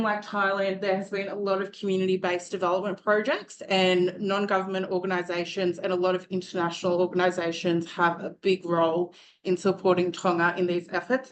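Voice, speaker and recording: A woman with a loud, projecting voice gives a prepared presentation to an audience as a student speaker. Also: fairly low pitch, slight background noise.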